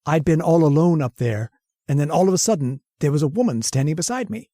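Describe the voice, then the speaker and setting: A man's voice: a little high, lively intonation, a little fast, dark tone, no room sound, clean studio sound. An actor playing a nervous, haunted man who narrates his story in an old-time radio horror drama, jittery and hesitant. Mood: eerie, shivery. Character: nervous, haunted, centuries-old male professor confessing a murder plan.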